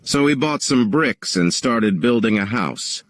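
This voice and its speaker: A man's voice: medium pitch, lively intonation, a little slow. A man narrating a scripted folktale for children. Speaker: story narrator.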